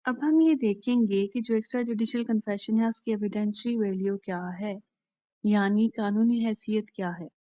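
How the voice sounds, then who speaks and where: A woman with a Pakistani accent giving an explanatory law lecture in a deliberate, instructional way, restating each point plainly. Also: mid-range voice, mellow, no room sound. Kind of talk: explanatory lecture mixing Urdu and English.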